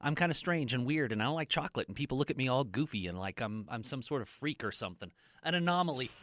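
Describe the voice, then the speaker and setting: A man's voice: mid-range voice, expressive, fast talker, fairly bright tone, no room sound, studio quality. A middle-aged man hosting a radio interview, chatty and jokey, poking fun at himself. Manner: chatty, jokey, self-deprecating. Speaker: radio host.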